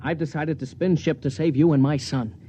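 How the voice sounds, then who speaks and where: Actor as mellow, resolute spaceship captain. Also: male voice, fairly high pitch, fairly even intonation, a little fast, close to an everyday voice. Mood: resolute, restrained. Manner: terse, authoritative. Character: resolute, weary spaceship captain and husband, commanding. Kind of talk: 1950s radio drama acting. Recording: muffled, hissy old radio broadcast.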